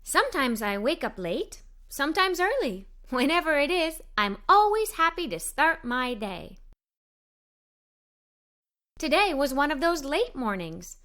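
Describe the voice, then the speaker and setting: A woman's voice: high voice, big pitch swings, unhurried, sharp tone. A woman reading a children's story aloud, expressively, as a cheerful young girl narrator. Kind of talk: scripted read-aloud storytelling for kids.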